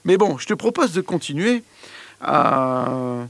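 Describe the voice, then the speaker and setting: Animateur radio enjoué, voix assez aiguë, intonation très vivante. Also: male voice, a little high, big pitch swings, slow, vocal fry, fairly bright tone, dry recording. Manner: playful, laughs often while presenting. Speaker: radio host. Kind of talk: casual music radio chat.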